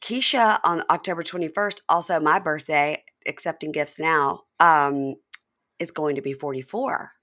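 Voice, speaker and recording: Low-voiced woman in her fifties, chatty. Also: moderate pace, fairly bright tone. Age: 50s. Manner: chatty, rambling with fillers. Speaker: podcast host. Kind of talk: casual podcast chat.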